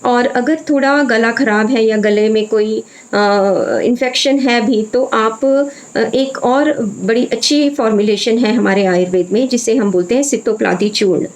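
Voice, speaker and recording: Ayurvedic doctor, woman, halting delivery. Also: on the high side, poor audio. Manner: frequent hesitations, mixes in English phrases. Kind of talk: informal health talk.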